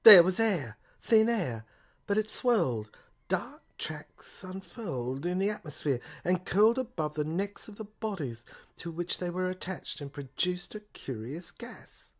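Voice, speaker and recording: Female narrator, lowish pitch, deliberate and theatrical, for scripted audio drama. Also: sing-song.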